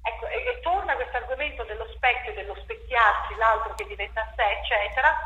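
A woman playwright and director giving an interview about her stage play, talking in an animated way and restarting her sentences often as she thinks aloud. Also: a little high, a little fast, muffled recording. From Italy. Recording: echoey room with faint noise.

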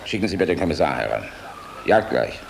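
An actor in a German radio play, playing a middle-aged air force general, weary and decent, measured and frank with dry irony. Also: male voice, rumbling low, big pitch swings, gravelly, heavily acted. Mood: weary, businesslike. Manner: measured, frank, dryly ironic. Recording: noisy radio play recording.

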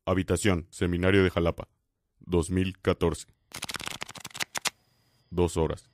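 A man playing a solemn scene announcer in a radio drama, giving places and times in a flat, clipped way. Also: low voice, takes it slowly, a little hiss or hum. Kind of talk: scripted narration in a radio drama.

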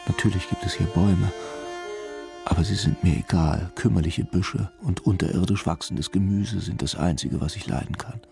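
An actor playing a brooding, solitary investigator in a radio drama, musing intimately in short, halting phrases with a gravelly voice. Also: male voice, deep voice, a little mellow. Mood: brooding, wistful. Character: brooding solitary male investigator in inner monologue. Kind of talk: radio drama inner monologue. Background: accordion and violin music.

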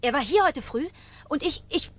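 A woman's voice: high voice, animated, normal speed, no room sound. An actress playing a flustered, indignant female boss, stammering and insistent, in a radio play.

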